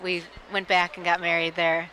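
A woman's voice: on the low side, completely flat delivery, moderate pace, a crowd in the background, rough recording. An American woman from Chicago chatting casually as an interviewed party guest. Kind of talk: casual chat.

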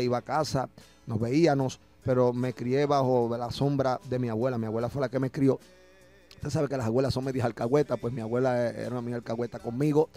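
Mellow-voiced Puerto Rican man giving testimony. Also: mid-range voice. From Puerto Rico. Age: middle-aged. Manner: frequent verbal tags seeking agreement. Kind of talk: personal religious testimony. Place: small radio studio. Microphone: close broadcast microphone with headphones.

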